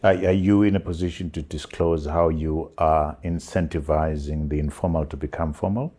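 Deep slow-talking male interviewer. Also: fairly even intonation, mellow, slightly rough. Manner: slow, repeats words, many listening murmurs. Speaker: interview host. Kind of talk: interview conversation.

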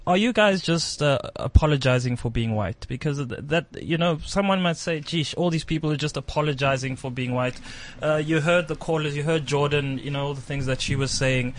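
A man hosting a radio talk show, reading a checklist aloud and bantering with co-hosts. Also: fairly high pitch. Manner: brisk, reads items aloud then banters.

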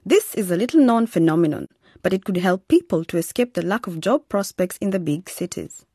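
A woman's voice: lowish voice, expressive, a little fast, mellow, husky, dry recording, studio quality. A woman reading a scripted introduction as the narrator of a radio series.

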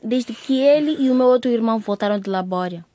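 Actress as a young girl, high voice, caring but pushy and earnest, radio drama style. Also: brisk, dark tone, no echo, natural voice. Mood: worried. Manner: earnest, insistent. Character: caring, outspoken young daughter and big sister.

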